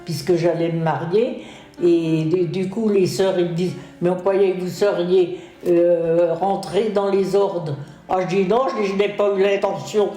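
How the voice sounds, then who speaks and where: A woman's voice: on the low side, fairly even intonation, a little slow, dark tone. A woman in her nineties, a retirement home resident, hesitantly recalling her past in an interview. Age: 90s. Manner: hesitant storytelling with fillers. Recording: roomy with music underneath.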